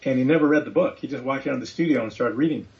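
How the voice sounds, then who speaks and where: A man's voice: mid-range voice, rapid-fire, muffled recording. A man speaking casually and conversationally as the guest in an interview.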